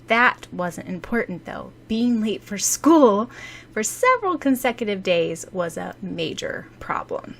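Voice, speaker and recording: A woman's voice: medium pitch, very animated, a little slow, vocal fry. A woman reading scripted story narration expressively, as an audiobook narrator.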